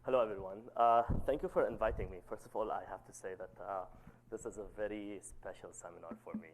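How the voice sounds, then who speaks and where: A man in his thirties with a loud voice, giving an academic seminar talk and often checking in with "okay?". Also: fast talker, slight background noise, poor audio. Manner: says "okay?" to check in, lively pitch. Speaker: guest lecturer.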